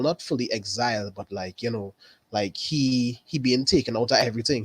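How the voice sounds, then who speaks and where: A man's voice: mid-range voice, fairly bright tone, no echo, low-quality recording. Laid-back Trinidadian man, dry sound. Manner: unhurried, repeats phrases, says "really and truly". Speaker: caller. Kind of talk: casual chat about a TV episode.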